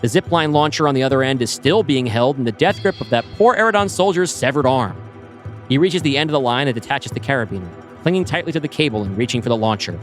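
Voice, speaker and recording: A man reading a science fiction script aloud as narrator, in an even, descriptive manner. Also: mid-range voice, fast talker, soft background music. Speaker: storyteller.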